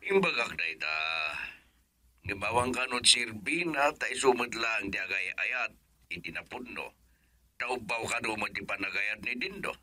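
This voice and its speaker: A fatherly older Filipino man in a radio drama. His voice is low and softly spoken, with a hospitable manner, recorded close and dry. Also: animated, normal speed, no echo, full character voice. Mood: subdued. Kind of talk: Ilocano radio drama acting.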